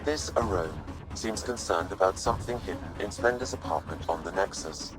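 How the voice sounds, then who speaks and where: Man voicing a deep, formal AI assistant. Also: over music, lightly acted, low-quality recording. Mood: calm, advisory. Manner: measured and formal. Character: calm, precise artificial intelligence assistant, male. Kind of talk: video game voice acting.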